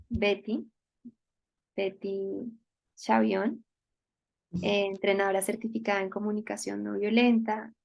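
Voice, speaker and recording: A woman moderator gently introducing a guest and welcoming viewers to a session. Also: medium pitch, gravelly. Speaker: host, moderator.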